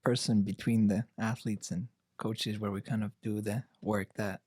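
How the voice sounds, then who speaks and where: A man's voice: fairly low pitch, moderate pace, no room sound, studio quality. A young man from Greece, a college sailing captain, answering interview questions casually with lots of "you know" and "like". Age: young adult. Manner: relaxed, uses many fillers like "you know" and "like".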